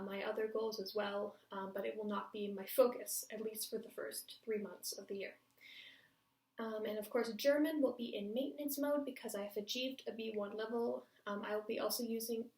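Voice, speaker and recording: A woman vlogging to camera about her language-learning goals, talking casually and hesitantly with many fillers and pausing to check herself. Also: medium pitch, a little mellow. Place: home room, cars outside. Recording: echoey room, voice a bit far off.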